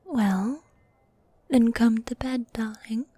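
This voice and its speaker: Woman as a slow, breathy demon girlfriend, whispering. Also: fairly high pitch, dry recording. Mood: coaxing, tender. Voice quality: soft, breathy, often whispering. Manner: affectionate, coaxing, drawn-out genteel phrasing. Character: needy, dominant goth demon girlfriend, teasing and theatrical. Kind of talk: medieval fantasy ASMR roleplay.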